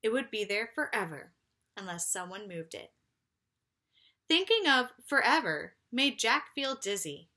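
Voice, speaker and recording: Woman, teacher read-aloud, bright voice, highly animated, slightly slow, emphatic phrasing for children.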